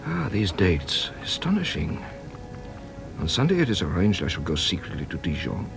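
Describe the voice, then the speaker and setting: An actor playing a shrewd, playful French police inspector in a British radio drama, with a French accent and a teasing, theatrical delivery. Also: male voice, sits low, huge pitch swings, normal speed, creaky, music in the background, heavily acted. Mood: wry, curious.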